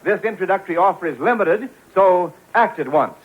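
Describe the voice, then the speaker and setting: A man's voice: high-pitched, animated, a little fast, slight background noise. A man announcing and narrating from a script in the polished, formal style of 1940s radio. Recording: muffled 1940s radio broadcast.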